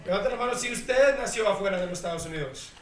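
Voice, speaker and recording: A man's voice: high voice, expressive, very fast, over a noisy crowd. A man from the United States, a teacher introducing himself informally and hesitantly in a hall, switching languages. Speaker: high school social studies teacher. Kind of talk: informal bilingual self-introduction. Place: school assembly stage. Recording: echoey hall, distant mic, clear noise.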